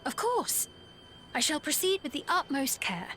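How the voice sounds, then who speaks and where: An actress playing a gentle, devoted young queen in a video game, courteous, formal and soothing, with music behind her. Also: high voice, wildly swooping pitch, measured pace, exaggerated voice. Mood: gracious. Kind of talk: scripted video game voice acting.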